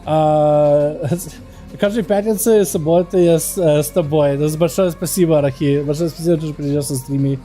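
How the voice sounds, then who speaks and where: A man with an American accent chatting on a game livestream, halting and searching for words as he switches between Russian and English. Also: on the high side, steady tone, warm tone, soft background music. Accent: American, speaking Russian. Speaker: game streamer. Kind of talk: casual livestream chat.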